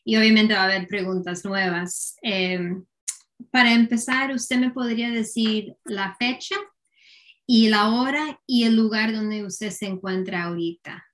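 A woman's voice: mid-range voice, unhurried, a bit bright. A woman conducting a formal interview, asking questions politely and carefully, with frequent fillers between them. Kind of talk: structured oral-history interview. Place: Connecticut, United States.

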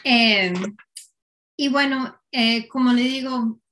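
Woman, interviewer, somewhat high pitch, polite formal questioning, frequent fillers. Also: fairly even intonation, takes it slowly, fairly bright tone, a little hiss or hum. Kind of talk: structured oral-history interview. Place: Connecticut, United States.

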